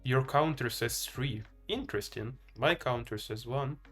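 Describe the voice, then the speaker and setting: A man's voice: medium pitch, unhurried, fairly bright tone, faint music behind. A man from Ukraine with a Ukrainian accent, giving casual live game commentary and chatting to viewers in a hesitant, thinking-aloud way. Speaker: live streamer playing chess.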